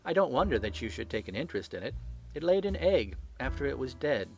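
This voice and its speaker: A man playing a confident gentleman detective in an audiobook, speaking with brisk authority and dry wit. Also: medium pitch, big pitch swings, faint music behind, poor audio. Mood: wry. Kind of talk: audiobook character acting.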